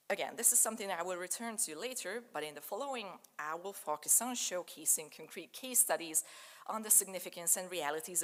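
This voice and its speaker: A woman's voice: fairly low pitch, a bit bright. Lecturing woman, native Hungarian speaker. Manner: measured, explanatory, with frequent "uh" and "so" fillers. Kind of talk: academic lecture. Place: lecture room in a German-speaking country.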